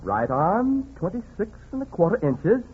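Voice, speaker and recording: A man's voice: sits high, very animated, moderate pace, narrow, tinny audio. A man playing a patronizing, chirpy menswear salesman in 1940s radio comedy, sing-song and condescending. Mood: fussy, condescending. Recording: noisy, thin 1940s radio, audience behind.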